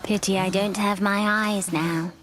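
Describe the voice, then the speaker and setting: A grieving blind woman of old magic , acted by a woman for a game. She speaks in a medium register with hushed, fearful solemnity, in short lyrical phrases. Faint ambient noise sits behind her. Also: medium pitch, fairly even intonation, a little slow, natural voice. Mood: weary, wistful. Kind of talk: video game character acting.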